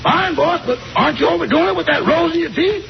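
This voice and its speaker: Cheeky manservant, extremely gravelly, strikingly high pitch, wisecracking, 1940s radio comedy, noisy old recording. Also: male voice, fast talker, dull audio. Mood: wry. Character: wisecracking, cheeky male servant to his boss.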